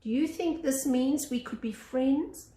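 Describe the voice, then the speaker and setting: Woman putting on a small squeaky timid piglet. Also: a little slow, mellow, reverberant. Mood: hurried, hopeful. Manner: nervous, apologetic, squeaky. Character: small, timid, eager-to-please young male piglet. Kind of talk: children's storybook character voice.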